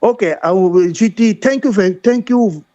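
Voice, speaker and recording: A man from DR Congo with a Congolese accent, talking football as a guest caller in halting, repetitive, emphatic English that is not his first language. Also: high voice, mellow, lo-fi sound. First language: French. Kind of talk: animated football talk.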